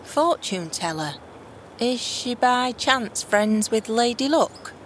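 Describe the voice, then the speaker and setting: An actress playing a sardonic, flippant runaway heiress and swindler with a dry, slangy manner in a fantasy audio drama. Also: female voice, fairly high pitch, lively intonation, takes it slowly, a little hiss or hum.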